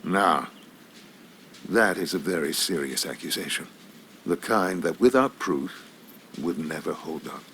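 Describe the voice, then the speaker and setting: An actor playing a smug, menacing elderly businessman in a video game, measured and silky, with theatrical pauses. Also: low voice, fairly bright tone, a little hiss or hum. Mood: smug, self-righteous. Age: older. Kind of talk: video game dramatic acting.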